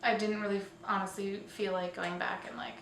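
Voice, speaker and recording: A woman's voice: mid-range voice, flat delivery, moderate pace, vocal fry, a bit bright. A woman hosting a casual online show, talking informally and a little hesitantly as if thinking aloud. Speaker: host of a weekly online show. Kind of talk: casual scripted-outline vlog commentary. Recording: roomy, distant mic, faint noise.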